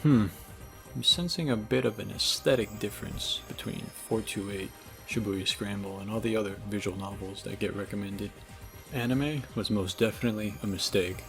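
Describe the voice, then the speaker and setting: Low gravelly male video essay narrator. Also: normal speed. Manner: sardonic, casually profane. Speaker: video game reviewer. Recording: low-grade microphone. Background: game music.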